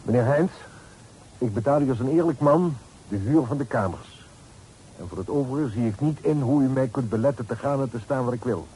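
Actor as a coldly dignified, mellow-voiced gentleman lodger. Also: medium pitch, natural voice. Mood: cold, defiant. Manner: formal, measured, haughty. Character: dignified, guarded father renting rooms, coldly defiant. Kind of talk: Dutch period radio drama acting. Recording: noisy old radio play recording.

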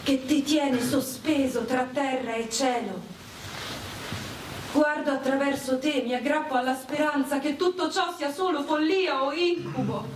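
A woman performing a dramatic poetic monologue about war in a slow, theatrical delivery. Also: sits high, steady tone. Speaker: performer reciting. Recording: echoey, distant pickup with background noise.

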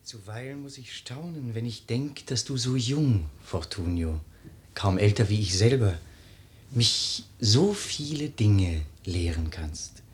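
An actor playing an earnest, admiring young gentleman and friend in a verse radio drama, speaking thoughtfully. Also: on the low side, animated, slow pace. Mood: wondering, admiring. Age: young adult.

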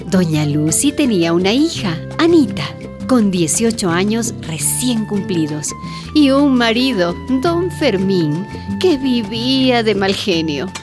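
A woman's voice: medium pitch, sing-song, slow, music in the background. A woman narrating a radio drama as a storyteller, reading a scripted village tale. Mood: storytelling.

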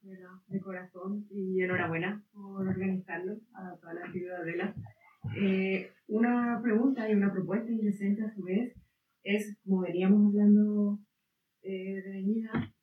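A woman's voice: medium pitch, steady tone, normal speed. A woman whose native tongue is Guaraní, talking from a distance in a roomy, echoey hall. The sound is thin and phone-like with a faint hiss. She wanders through her point, searching for words. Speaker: audience member asking questions. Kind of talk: long spontaneous question at a public debate.

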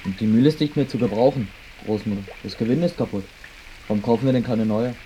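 A dutiful young village boy played by an actor in a radio drama. He talks quickly in a soft, mellow tone, with faint sizzling ambience behind. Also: medium pitch, a little hiss or hum, natural voice, poor audio. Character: obedient young village boy helping at home. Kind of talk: German radio play acting.

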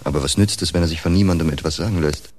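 An actor playing a weary, disapproving doctor in a radio drama, speaking in a terse, stern way. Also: male voice, low voice, rapid-fire, a little mellow. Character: disapproving, weary male doctor, reserved and blunt.

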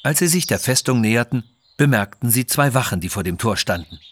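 A man's voice: mid-range voice, expressive, fairly quick, fairly bright tone, slightly rough. A man narrating a scripted children's adventure story in a dramatic style.

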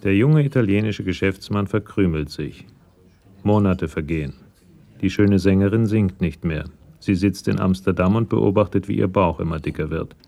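A man narrating a scripted crime radio play in a measured, matter-of-fact storytelling manner. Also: low voice, vocal fry, warm tone.

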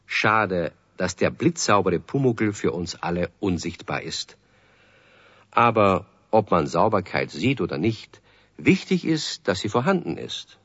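An actor narrating a children's radio play as a storyteller, speaking to the young listeners in a gently moralizing way. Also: male voice, low voice, sing-song, measured pace, husky, dry recording, full character voice. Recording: dull, dry vintage radio recording.

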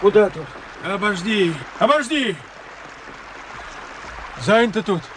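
An actor in a radio play voicing an excitable, deferential Cossack sentry with folksy, barking speech. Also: male voice, high-pitched, slow, noisy background. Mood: eager, deferential.

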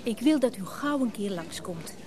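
An actress playing a rich collector's spontaneous, flirtatious younger wife in a radio play, gushing and teasing. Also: female voice, medium pitch, expressive, normal speed, crowd noise, natural voice. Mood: teasing, self-assured.

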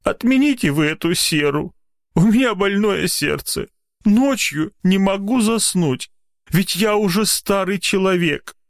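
A man's voice: high voice, big pitch swings, unhurried, hardly any pauses, pitched above the performer's own voice. A man voicing an old male patient in a comic story, whining, self-pitying and pleading in a tragic, tearful manner. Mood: plaintive. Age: older.

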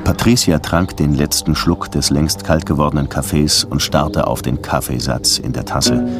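Casting: world-weary investigator, bass-leaning voice, understated first-person radio drama delivery over music. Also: male voice. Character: weary gruff police detective in Havana, narrating his own case. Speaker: lead actor and first-person narrator. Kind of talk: German radio drama acting and narration.

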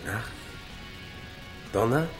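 A man's voice: drawn-out words, music in the background. A man playing a nosy, idle, over-familiar villager in his thirties, making laconic, prying small talk in a radio drama. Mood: nosy, breezy. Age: 30s. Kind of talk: naturalistic radio drama dialogue.

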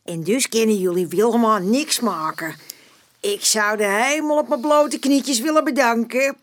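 An actress playing a feisty, nosy older auntie in a radio drama, chummy and cheeky in manner. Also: female voice, fairly high pitch, measured pace, lightly acted. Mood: cheerful, teasing.

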